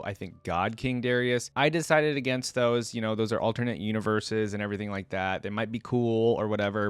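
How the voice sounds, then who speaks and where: A man's voice: medium pitch, clean tone, no echo. A man giving casual reaction commentary as the host of a video.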